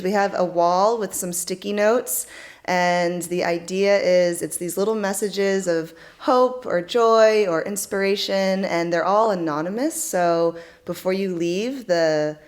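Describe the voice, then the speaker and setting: A woman hosting a community event, thanking the audience and panelists warmly with many fillers. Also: medium pitch. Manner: effusive, grateful, many fillers. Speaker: event host. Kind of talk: closing remarks at a community event. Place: indoor event space with audience.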